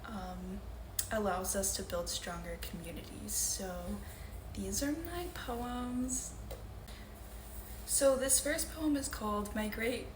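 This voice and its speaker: A woman's voice: mid-range voice, background noise. Earnest young woman poet, roomy sound. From Louisiana. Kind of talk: poetry reading with spoken introductions. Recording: roomy echo with faint ambience.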